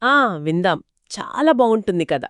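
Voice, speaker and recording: A woman narrating a moral folktale in an expressive, lively storytelling manner. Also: mid-range voice, normal speed, no echo, clean studio sound. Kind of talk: scripted two-voice folktale narration.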